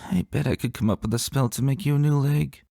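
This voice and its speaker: A man's voice: mid-range voice, quick pace, creaky, warm tone, a little hiss or hum, pitched above the performer's own voice, close to an everyday voice. A man voicing an eager, argumentative little pony princess, childlike and earnest, in a dramatic story reading. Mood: sullen, insistent. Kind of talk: dramatic fan-fiction reading.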